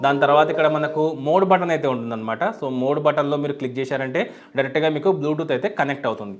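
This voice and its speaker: A man's voice: fairly high pitch, racing, soft background music. A man explaining a product step by step as a tech reviewer, in an unboxing video style. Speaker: YouTube tech reviewer and host.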